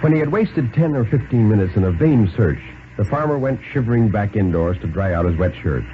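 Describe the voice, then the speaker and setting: Animated low-voiced male radio storyteller, muffled recording. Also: a little hiss or hum. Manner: measured, theatrical. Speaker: story narrator. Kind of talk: dramatic reading of thriller stories on 1950s radio.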